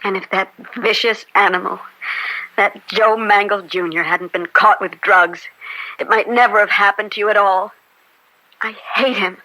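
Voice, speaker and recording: An actress playing a fiery, devoted young student daughter in old-time radio drama, emphatic and insistent. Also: female voice, medium pitch, steady tone, moderate pace, sharp tone, no room sound, close to an everyday voice, rough recording. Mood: indignant. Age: young adult.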